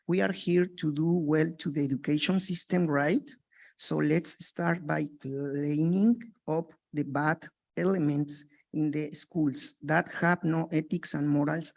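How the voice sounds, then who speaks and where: A man from Mexico, a native Spanish speaker with a Mexican Spanish accent, making an earnest, halting public comment in broken English. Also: on the high side, vocal fry. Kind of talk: public comment at a board meeting.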